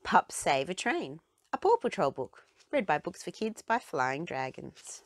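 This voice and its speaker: A woman reading a children's storybook aloud with expressive, dramatic phrasing. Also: sits low, unhurried, no room sound. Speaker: children's book reader.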